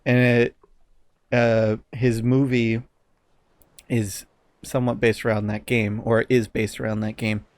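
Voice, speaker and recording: Man, podcast co-host, casual chat, halting delivery, mid-pitched, dry sound. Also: level tone, no echo. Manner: halting, searching for words.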